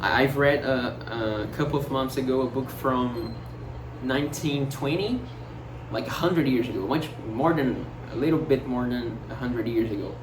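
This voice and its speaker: A young man with a Brazilian Portuguese accent muses in a casual monologue, his speech full of fillers, restarts and searching for words. The sound is roomy and echoey, picked up from some distance. A clear layer of background noise sits under his voice. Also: medium pitch, normal speed. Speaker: vlogger. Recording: roomy echo, distant mic, noticeable noise.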